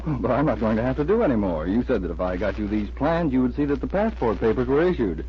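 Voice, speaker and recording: A man's voice: mid-range voice, very animated, fast, close to an everyday voice. Guy doing a jittery young clerk, old radio drama, voice up and down, muffled with some hiss. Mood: uneasy. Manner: anxious, hesitant, protesting. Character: nervous, pleading young male clerk, coerced into stealing. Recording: muffled, noisy vintage radio recording.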